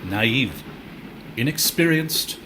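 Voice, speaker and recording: A man playing a theatrical storyteller, delivering dramatic scripted narration of a dark tale in a musical. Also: mid-range voice, very animated, slow, a little hiss or hum, exaggerated voice. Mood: ominous, knowing.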